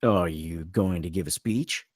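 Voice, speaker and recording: A man's voice: sits low, measured pace, no room sound, studio quality. A man at a script table read playing a brash, sarcastic young delinquent brother, mouthy and emphatic. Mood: sardonic.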